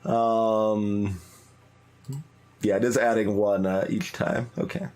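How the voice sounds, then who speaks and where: A streamer giving casual playthrough commentary, halting and musing aloud. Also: mid-range voice, level tone, darkish tone. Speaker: video game streamer.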